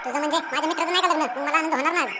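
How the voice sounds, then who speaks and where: A woman voicing an eager young village character in a comedy cartoon, calling out excitedly. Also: sits high, racing, background noise, lightly acted.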